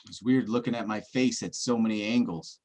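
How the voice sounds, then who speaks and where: A man's voice: fairly low pitch, a little fast, darkish tone. A man on a casual livestream chat, walking through puzzle clues step by step, joking now and then and checking with his listener. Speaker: treasure-hunt searcher presenting clues.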